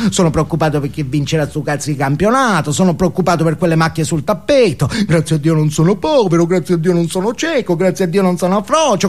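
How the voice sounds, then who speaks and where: A man's voice: fairly high pitch, close to an everyday voice. An actor playing an unhinged, foul-mouthed, alienated man in an intense, volatile comic stage monologue. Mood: sullen, anxious, then manic gloating. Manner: intense, volatile, emphatic, swinging from muttering to yelling.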